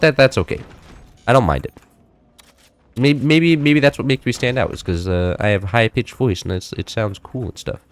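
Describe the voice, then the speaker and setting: A young man in his late teens chatting loosely while playing a game, rambling with many fillers and restarts, his voice a little high for a man. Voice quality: slightly high-pitched for a man. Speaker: gameplay streamer. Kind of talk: casual chat while playing.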